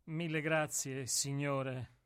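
Man acting slow, polite elderly intruder. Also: medium pitch, little pitch movement, a bit bright, smooth, no room sound, studio quality. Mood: terse, polite. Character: elderly male night intruder, polite and terse. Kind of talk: radio play acting.

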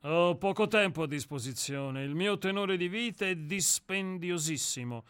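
An actor in a radio play playing an irritable, celebrated writer with a curt, haughty, commanding manner. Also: male voice, high voice, animated, normal speed, fairly bright tone, smooth, no room sound. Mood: impatient, dismissive.

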